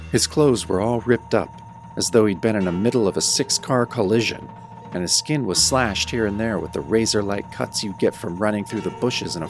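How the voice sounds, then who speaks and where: A man's voice: fairly low pitch, no echo, clean studio sound. A man playing a brooding young werewolf who narrates his own story in a wry, dramatic radio-drama style. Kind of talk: first-person audio drama narration, old radio show style.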